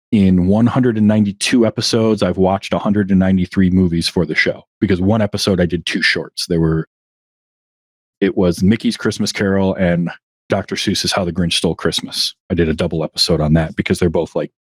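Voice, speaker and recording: A man with a lowish voice talks loosely as a podcast guest, often restarting mid-sentence and chuckling at himself. The sound is dry and close, with no room around it. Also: dry recording. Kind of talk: casual interview chat.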